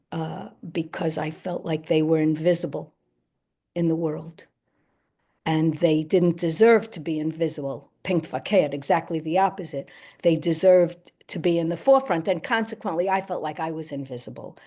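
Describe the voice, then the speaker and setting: An older woman from the United States whose first language is Yiddish, answering in a reflective Q&A with halting, thoughtful phrasing and frequent restarts. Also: low voice, warm tone. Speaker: actress and guest.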